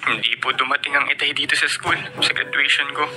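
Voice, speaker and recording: A dutiful teenage son in a radio drama, polite and wounded, with a high voice. The old broadcast carries clear hiss underneath. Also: male voice, steady tone, fast, bright, forceful tone. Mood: hurt. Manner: polite, hurt.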